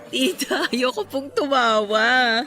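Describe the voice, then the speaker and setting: A woman playing a sweet, bashful young girlfriend in a radio drama, polite and expressive. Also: sits high, slow, heavily acted. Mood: polite, amused.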